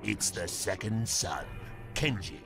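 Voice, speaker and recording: Gravelly, nervous henchman. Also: fairly low pitch, animated, slow pace, over music, full character voice. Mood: deferential. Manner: deferential, nervous. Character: anxious, deferential servant reporting to his dark master. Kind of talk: video game cutscene acting.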